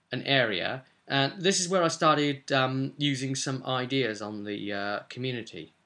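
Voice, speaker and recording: A man's voice: medium pitch, pushed and bright. A young man giving a casual, unscripted vlog recap as a tabletop game master, explaining in a loose, rambling way. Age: young adult. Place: small furnished room at home, indoors.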